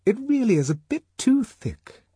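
A man's voice: extremely high pitch, sing-song, normal speed, mellow, slightly rough, dry recording, clean studio sound, voice pushed up, exaggerated voice. A man voicing a scathing, indignant headmistress in an audiobook story.

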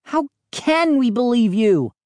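An angry, anxious boy in a children's audiobook, played by a woman. He speaks in a high, urgent, demanding voice, recorded dry and close. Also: big pitch swings, slow pace, darkish tone, dry recording. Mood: accusing. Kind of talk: audiobook character voice.

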